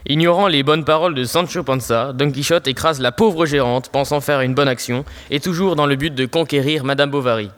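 A man's voice: medium pitch, brisk, few pauses, loud music behind the voice, natural voice. A teenage boy playing a wry, mock-solemn narrator of a comic audio story.

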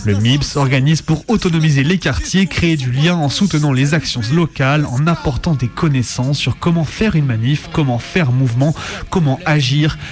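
A man's voice: a little high, normal speed. Man narrating radio over music. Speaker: radio host. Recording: music behind the voice. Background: music bed.

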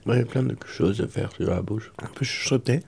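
A man's voice: medium pitch, a little fast, vocal fry, darkish tone, a little hiss or hum. A man chatting playfully and casually in simple, short, spontaneous phrases.

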